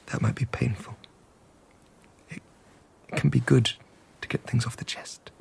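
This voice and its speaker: Husky, halting, troubled husband. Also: male voice, mid-range voice, steady tone, darkish tone, full character voice. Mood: awkward, strained. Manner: halting, stammering, repressed. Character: troubled, stiff English husband, halting and bitter after a catastrophe. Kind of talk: naturalistic period radio drama acting.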